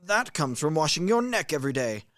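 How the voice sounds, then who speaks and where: A high-pitched, wildly swooping middle-aged military man, acted by a man, pompous and blunt in a radio play. He grumbles like an old-fashioned husband, recorded very dry and close. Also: big pitch swings, fairly quick, fairly bright tone, no echo. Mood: grumbling, opinionated.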